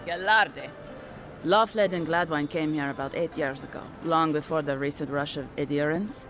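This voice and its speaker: An actress voicing a chatty, earnest townswoman in a video game, talking in a gossipy, animated way. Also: deep voice, moderate pace, faint music behind. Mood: earnest, pleading. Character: chatty, earnest townswoman asking help for friends. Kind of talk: video game character dialogue.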